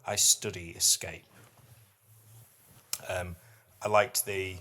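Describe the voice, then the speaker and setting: A middle-aged man with a low voice hosts a podcast. He speaks in a casual, reflective and slightly hesitant way, and also reads poetry aloud. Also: flat delivery, slow pace, bright, forceful tone, slight background noise. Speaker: podcast host. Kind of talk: casual chat and poetry reading.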